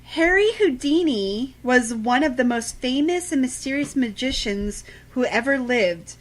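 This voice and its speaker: A woman's voice: sits high, lively intonation, measured pace, rough recording. A woman co-hosting a radio show, reading scripted trivia facts aloud.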